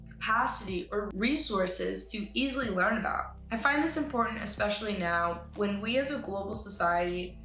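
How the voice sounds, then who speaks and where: A woman giving an articulate, earnest scripted pitch as a filmmaker presenting her project, with some light asides. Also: a bit bright. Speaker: animator and writer pitching a short film. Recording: roomy echo with music behind. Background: music.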